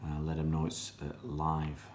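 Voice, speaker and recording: Deep-voiced man chatting on a live stream. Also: monotone, moderate pace, darkish tone, slight background noise. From born in Pakistan, raised in England. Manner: chatty, greets viewers by name, rambling. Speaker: fan channel live streamer. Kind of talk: casual live-stream chat reading comments.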